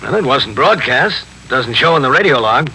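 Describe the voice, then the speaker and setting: An actor playing a stern, methodical police captain in a 1950s radio drama, speaking in a measured, official and probing way. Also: male voice, mid-range voice, animated, pushed and bright. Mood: probing, businesslike. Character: police captain narrating and questioning his men, stern, methodical. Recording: faintly noisy 1950s radio broadcast.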